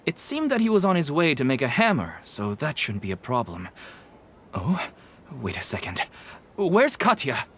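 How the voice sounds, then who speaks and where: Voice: mid-range voice, wildly swooping pitch, normal speed, background noise. An actor playing a helpful, dutiful event organizer in a video game, polite and earnest. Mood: concerned, dutiful. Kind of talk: video game character dialogue.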